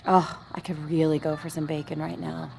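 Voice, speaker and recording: A woman's voice: low voice, level tone, a little fast, vocal fry, darkish tone, a little hiss or hum. An actress in an audio drama playing a sweet, chatty woman who poses as an expectant mother, friendly and confiding. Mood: cheerful. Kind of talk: audio fiction drama acting.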